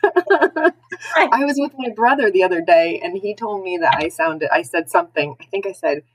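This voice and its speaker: A woman's voice: medium pitch, animated, normal speed, husky, no room sound, low-quality recording. An American woman chatting casually as a podcast co-host. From New Jersey, United States. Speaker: podcast co-host, dental hygienist.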